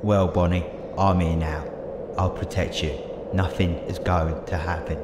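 A man's voice: low-pitched, steady tone, unhurried. A man playing an eccentric, earnest, reassuring time-travelling hero in an amateur audio drama. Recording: echoey amateur audio drama, very noisy background.

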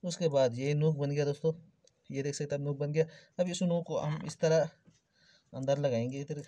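A man's voice: on the high side, fairly even intonation, moderate pace, warm tone. A man giving an informal hands-on repair tutorial, explaining things step by step and addressing viewers as friends. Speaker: electrical repair tutorial host. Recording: clearly noisy background with clicks.

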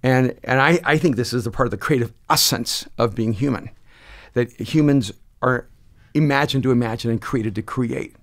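A man in his sixties, born in El Salvador, speaking as a pastor and author guest on a podcast. He tells stories reflectively, with quick bursts of speech that slow down for emphasis. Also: medium pitch. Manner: expansive, gestures a lot, quick bursts then slow emphasis. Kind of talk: reflective conversational storytelling. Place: dark podcast studio. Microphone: studio mic on a boom, close.

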